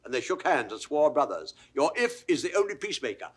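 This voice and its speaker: A British actor playing a witty, mock-learned court jester in a Shakespeare audio drama, with mock-formal, theatrical delivery. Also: male voice, a little high, very animated, brisk, slightly rough. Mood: mock-pompous.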